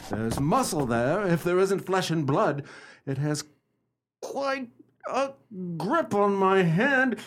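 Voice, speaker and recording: An obliging gentleman's companion, acted by a man in a vintage-style radio drama. He is skittish yet gamely brave, with polite, exclamatory, theatrical lines that swing widely in pitch. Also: lively intonation, slow, slight background noise. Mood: frightened, frantic. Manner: theatrical, exclamatory, old-fashioned polite phrasing. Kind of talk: old-fashioned radio play acting.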